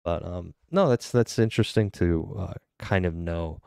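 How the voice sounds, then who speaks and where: Very deep, smooth-voiced American man, rambling podcast host, dry close sound. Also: moderate pace, mellow, no room sound. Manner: rambling, many fillers. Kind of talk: casual podcast interview.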